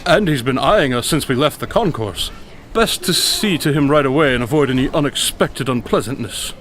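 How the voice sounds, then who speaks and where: A man's voice: medium pitch, expressive, normal speed, a bit bright, natural voice. A man playing a courteous, self-assured detective with dry wit and formal, gallant phrasing in a radio drama. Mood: wry, alert.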